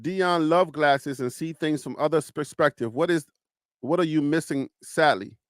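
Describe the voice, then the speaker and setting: High-pitched Liberian man. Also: moderate pace, no room sound, clean studio sound. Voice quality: animated, rising pitch when arguing. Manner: emphatic, rhetorical questions, teasing. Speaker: live show host. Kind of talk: casual live-stream commentary.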